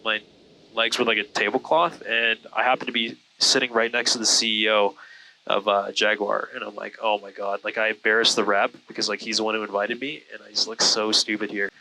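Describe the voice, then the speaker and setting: A man's voice: fairly low pitch, little pitch movement, a little fast, creaky, bright, forceful tone, slight background noise, rough recording. A man telling stories casually in a rambling, self-deprecating way, with lots of "like". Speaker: guest, content creator. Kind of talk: casual storytelling chat. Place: small airplane cockpit in flight.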